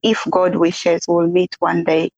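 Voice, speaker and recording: A young woman from South Sudan, raised in Uganda, speaking as a podcast guest in a soft, measured way with many fillers. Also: on the low side, completely flat delivery, no room sound, dull audio. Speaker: podcast guest, peace activist. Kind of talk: interview.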